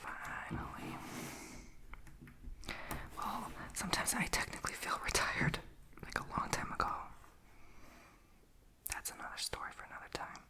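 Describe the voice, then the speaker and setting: A woman's voice: a little slow, long pauses. A young woman whispering as she chats while doing a puzzle, rambling, playful and blunt. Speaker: vlogger.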